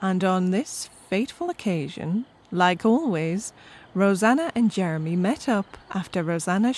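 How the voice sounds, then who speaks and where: A woman's voice: on the low side, darkish tone. A woman narrating a scripted comic story with wry, conversational asides to the listener.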